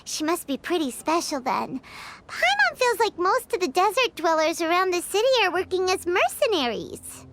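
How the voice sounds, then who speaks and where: A woman voicing a small, chatty, cheeky fairy companion in an anime video game, with a squeaky childlike voice. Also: normal speed, noisy background. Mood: eager, opinionated. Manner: speaks of herself in third person, animated. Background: background music.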